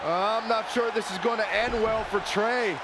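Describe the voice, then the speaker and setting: An actor playing an earnest, excitable and concerned wrestling commentator in a video game. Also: male voice, sits high, moderate pace, over a noisy crowd, very noisy. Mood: worried.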